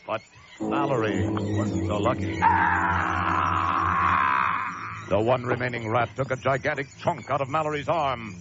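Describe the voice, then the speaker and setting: A man's voice: medium pitch, normal speed, lightly acted. An actor playing a tense, desperate lighthouse keeper who narrates his ordeal in dramatic, urgent old-time radio thriller style. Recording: dull vintage radio recording, loud music.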